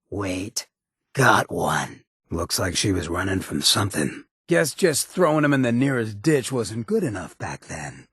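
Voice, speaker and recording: A very raspy, gravel-throated rogue of a game character, swaggers through his lines like a small-town outlaw mayor. His pitch swings freely as he drawls streetwise, sardonic remarks. The recording is dry and close. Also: no room sound, full character voice. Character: swaggering roguish gravel-voiced mayor, wry hedonist outlaw. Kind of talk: video game character dialogue.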